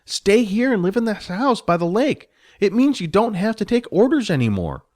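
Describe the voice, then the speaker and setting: A man's voice: high-pitched, very animated, normal speed, darkish tone, pitched above the performer's own voice. Jess, a man doing an earnest young hero. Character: earnest young man, confused but kind.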